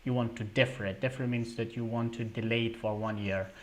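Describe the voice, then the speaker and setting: A young man hosting a live question-and-answer stream, reading viewer questions aloud and answering in a halting way with many fillers. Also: mid-range voice, fairly even intonation. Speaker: livestream host answering scholarship questions.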